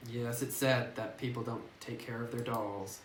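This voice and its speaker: A man's voice: mid-range voice, fairly even intonation, moderate pace, natural voice. A man voicing a lonely, earnest doll repairer in a visual novel, subdued and careful, recorded on a phone microphone. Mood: confiding. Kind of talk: read-aloud visual novel dialogue. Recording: roomy, noisy distant phone microphone.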